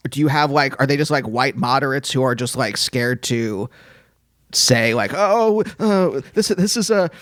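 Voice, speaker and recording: A man's voice: mid-range voice, expressive, measured pace, fairly bright tone, no echo, studio quality. A male podcast host asking hesitant, probing questions in a casual interview.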